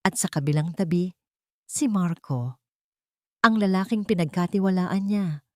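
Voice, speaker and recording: A woman with a low voice narrates a dramatic story. The recording is dry and close, and the delivery is that of scripted storytelling. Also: a little slow, dark tone, dry recording.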